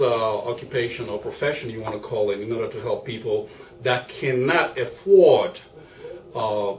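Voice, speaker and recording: A man from Liberia with a Liberian accent, giving a guest interview in a measured, formal way with many fillers. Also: medium pitch, a bit bright. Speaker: guest, accountant and business owner. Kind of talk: interview answers. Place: small makeshift home studio. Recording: roomy, distant mic with faint hiss.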